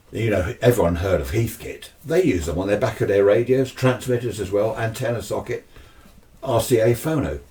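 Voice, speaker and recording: A man's voice: fairly low pitch, warm tone. A British man giving a casual, chatty explanation, often asking himself questions and answering them. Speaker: amateur radio video presenter. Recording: slightly distant microphone.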